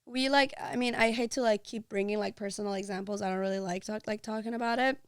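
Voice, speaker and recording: A woman's voice: medium pitch, steady tone, fast, smooth, dry recording, studio quality. A woman hosting a podcast, talking earnestly and chattily, full of "like"s. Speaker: podcast host. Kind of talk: casual chat.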